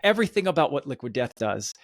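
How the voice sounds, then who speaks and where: A man's voice: mid-range voice, very animated, quick pace, no room sound, clean studio sound. A man hosting a podcast, chatting casually in an emphatic, enthusiastic way. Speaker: podcast host.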